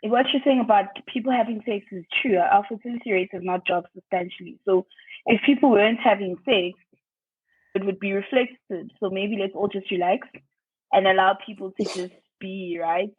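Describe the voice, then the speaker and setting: A woman's voice: medium pitch, fairly even intonation, moderate pace, slightly rough, dry recording. A woman co-hosting a podcast, chatting with enthusiasm over a remote call. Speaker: podcast co-host. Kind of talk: remote interview chat. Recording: tinny phone line, dry.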